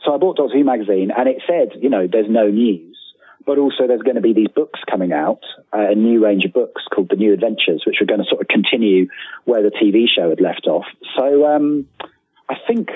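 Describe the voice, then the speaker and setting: Husky British man on a thin phone-like line. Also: fairly low pitch, fairly quick, dry recording. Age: 40s. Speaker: guest author. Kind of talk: casual interview conversation. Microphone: remote call. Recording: thin phone-like call, dry.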